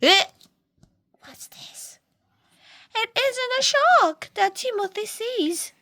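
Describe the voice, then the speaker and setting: Female picture-book reader, dramatic delivery, bright high voice, close dry sound. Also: animated, no room sound. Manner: dramatic, with shouts, whispers and drawn-out words. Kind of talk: animated read-aloud storytelling for young children.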